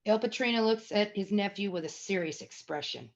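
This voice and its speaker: A woman's voice: medium pitch, brisk. Stage-direction narrator for a table read: female, mid-range voice, scripted reading, dull lo-fi sound.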